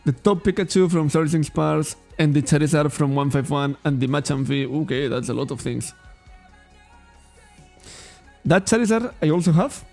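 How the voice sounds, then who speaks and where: A man with a Catalan accent talks loosely on a card shop livestream, often correcting himself and laughing. His voice sits a bit high, with a gravelly edge and a mellow, dark tone. Soft music plays faintly behind him. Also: a little fast, hardly any pauses. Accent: Catalan-accented English. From Spain. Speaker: trading card shop streamer. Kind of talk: casual livestream chat.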